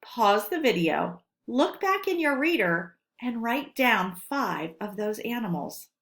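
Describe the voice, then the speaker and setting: A woman teacher reading a lesson aloud to children, speaking deliberately and instructively and stressing key words. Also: fairly high pitch, sing-song, a bit bright. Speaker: elementary school teacher.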